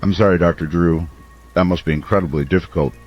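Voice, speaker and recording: A man plays a small-town radio host broadcasting through disaster. His voice is fairly low, earnest and concerned, in a slightly dull radio-drama recording with rain behind. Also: steady tone, fast, faint music behind. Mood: worried, sympathetic.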